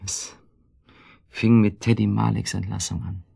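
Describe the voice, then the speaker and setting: An actor playing a guilt-worn, brooding husband in a German radio drama, speaking in a halting, bitterly ironic way with a husky, gravelly voice. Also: male voice, lowish voice, little pitch movement, warm tone, heavily acted. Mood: weary, confessional.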